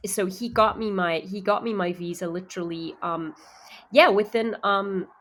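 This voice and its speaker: Chatty actress, faint Zimbabwean-tinged American accent. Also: female voice, mid-range voice, moderate pace, fairly bright tone. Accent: American with faint Zimbabwean traces. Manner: chatty, many fillers and restarts. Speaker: actor guest. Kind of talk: casual interview chat.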